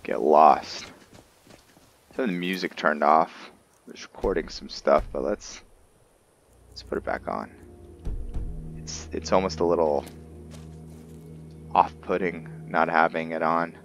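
A man's voice: a bass voice, slow, long pauses, a little hiss or hum. A man giving casual roleplay commentary on a video game, musing and thinking aloud as he plays. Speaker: gaming video host and player. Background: game music.

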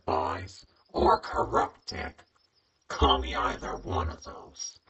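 Menacing corrupted cartoon creature, creaky husky growl, taunting delivery, dull lo-fi recording. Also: male voice, deep voice, bright, forceful tone, exaggerated voice. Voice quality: raspy, gravelly, breathy. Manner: slow, drawn-out threats with villainous taunting. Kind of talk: character voice lines.

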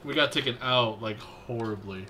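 A man's voice: mid-range voice, moderate pace, bright, forceful tone, slight background noise. A man chatting casually while playing a game with friends, teasing and laughing often, with some swearing. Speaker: co-host and gamer. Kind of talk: casual gaming chat.

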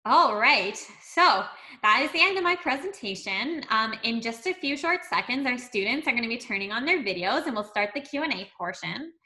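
A woman's voice: fairly high pitch, lively intonation, moderate pace, pushed and bright. A young woman hosting a video-call Q&A panel, upbeat, with frequent fillers. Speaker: webinar host.

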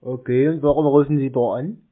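A man's voice: mid-range voice, steady tone, brisk. A man in a phone conversation, teasing and stubbornly questioning the other side. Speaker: prank caller baiting phone scammers. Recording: tinny band-limited phone line.

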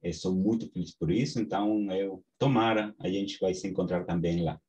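A man from Peru with a Peruvian Spanish accent, teaching an online class in Portuguese with slow, halting speech and many restarts, over a video call from a small home study. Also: sits low, fairly even intonation, dark tone. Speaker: course instructor. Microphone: webcam on video call.

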